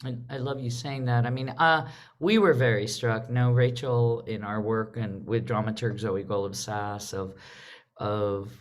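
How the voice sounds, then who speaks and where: A woman's voice: extremely low pitch, fairly even intonation, unhurried. A woman hosting a live online panel, talking conversationally and often interrupting herself as she goes. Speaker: host and artistic director leading a panel. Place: video call.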